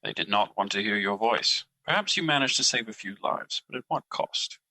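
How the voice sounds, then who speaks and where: A man's voice: fairly low pitch, animated, moderate pace, bright, forceful tone, no room sound, full character voice, poor audio. A man playing Guilt as a personified emotion in an audio drama, cold and judgmental, with formal, mocking, accusing delivery. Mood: judging.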